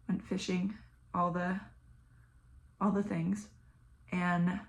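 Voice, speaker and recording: A young woman with a Southern American accent, chatting flatly and casually while doing her makeup, sometimes dropping to a mumble or whisper. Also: lowish voice, creaky, slight background noise. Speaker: beauty vlogger. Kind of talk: casual get-ready-with-me chat.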